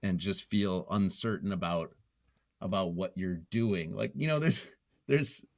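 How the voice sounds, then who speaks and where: Low-voiced man thinking aloud in an interview. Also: creaky, no room sound, studio quality. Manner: halting, thinking aloud, circles back to repeat phrases. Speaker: podcast guest, nonfiction writer. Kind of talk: casual reflective interview answers.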